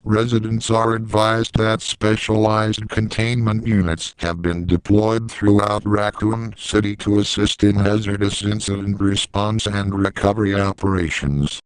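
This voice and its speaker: A low-voiced man delivers a scripted emergency alert. His tone is clean and resonant, with a formal, detached, measured manner, recorded close and dry. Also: flat delivery, smooth, dry recording, poor audio. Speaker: emergency broadcast announcer.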